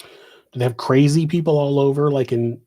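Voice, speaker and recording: Host, male, West Coast American accent, casual live Q&A, digressive and filler-heavy, mid-pitched. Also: moderate pace, mellow. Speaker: podcast host answering questions. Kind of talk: rambling live Q&A.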